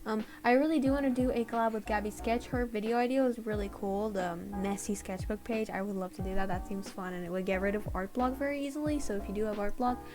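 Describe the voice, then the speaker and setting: A tired young woman with a slightly raspy voice, rambling and apologizing in a casual voiceover for her art channel. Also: medium pitch, steady tone, quick pace, hardly any pauses. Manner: rambling, apologetic, self-deprecating. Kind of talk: casual voiceover over a speedpaint. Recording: voiceover over loud background music. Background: background music.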